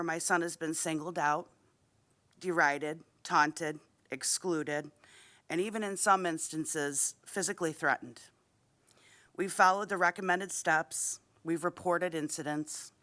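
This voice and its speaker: A woman with a low voice reads a prepared statement in a formal, earnest and measured way. She is slightly unwell and speaks into a podium microphone at a school board meeting. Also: pushed and bright. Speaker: parent giving public comment.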